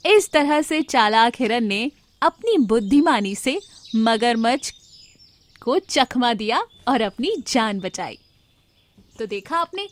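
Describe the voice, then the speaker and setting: A female storyteller narrates a scripted moral tale for children. Her voice is somewhat high for a woman. The delivery is read-aloud storytelling.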